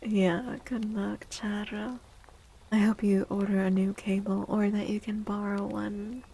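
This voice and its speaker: Slow, breathy, soft-spoken woman. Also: mid-range voice, flat delivery. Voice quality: soft, breathy, often dropping into whisper. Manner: unhurried, gentle, pausing between short phrases. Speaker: livestream host. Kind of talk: soft-spoken ASMR livestream chat.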